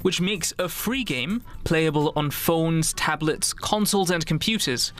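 A man's voice: a little high, measured pace, a bit bright. A man reporter narrating a news explainer in a conversational, questioning way.

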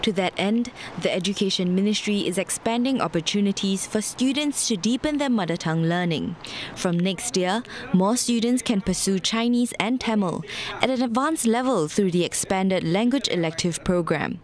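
A woman reporter narrating a television news piece with a crisp, even cadence. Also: mid-range voice, moderate pace. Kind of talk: scripted news voice-over.

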